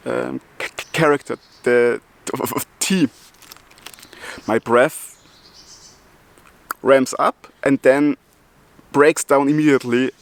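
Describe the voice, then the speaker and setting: Slow-talking German man who stutters. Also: medium pitch, vocal fry. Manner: stutters with repeated sounds and restarts; chuckles between phrases. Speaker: YouTuber. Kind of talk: casual vlog talk.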